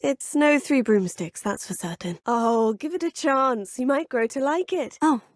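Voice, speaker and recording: A woman's voice: high voice, expressive, unhurried, no room sound, studio quality. A polite young witch student. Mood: polite, curious. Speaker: player character. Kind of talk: video game voice clips. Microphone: close studio mic.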